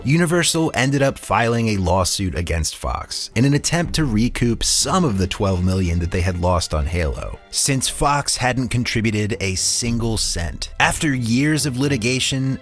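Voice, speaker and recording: A man's voice: fairly low pitch, expressive, moderate pace, few pauses. A man delivers scripted documentary narration as a voice-over with background music behind him.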